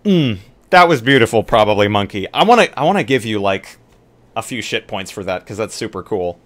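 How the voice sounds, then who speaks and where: A man's voice: lowish voice, expressive, brisk, slight background noise. A man chatting casually with stream viewers and a co-host in an easygoing, informal, joking way. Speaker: live-stream roleplay player.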